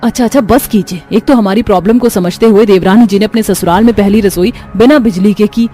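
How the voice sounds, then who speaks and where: A woman's voice: mid-range voice, brisk, warm tone, soft background music, close to an everyday voice. A woman voicing a caring, earnest elder sister-in-law in a cartoon family story. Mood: protective. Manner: soothing, earnest.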